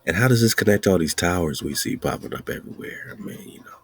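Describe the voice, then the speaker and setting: A podcast host speaking in a slow, emphatic, repetitive preaching style with a husky voice. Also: male voice, deep voice, animated, a bit bright, no echo. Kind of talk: casual preaching-style monologue.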